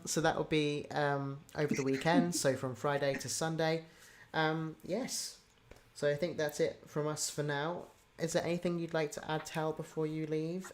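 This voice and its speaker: Chatty, informal livestream host, medium pitch. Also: a little hiss or hum. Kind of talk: casual livestream chat.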